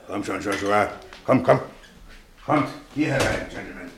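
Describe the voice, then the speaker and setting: An actor playing a hearty, down-to-earth innkeeper in a 1950s German radio play, brisk and genial. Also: male voice, lowish voice, heavy background noise. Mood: matter-of-fact.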